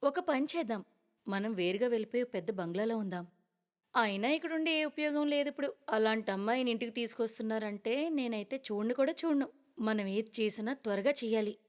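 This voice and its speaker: A woman's voice: on the high side, a little fast, close to an everyday voice. A woman voicing a scheming, snobbish young daughter-in-law in a cartoon story, complaining and insistent. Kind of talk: cartoon moral story acting.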